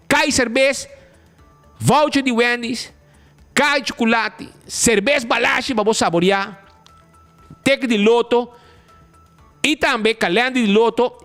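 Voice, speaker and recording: Man, show host, high voice, very lively pitch, stumbling restarts. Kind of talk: casual live show chat and commentary.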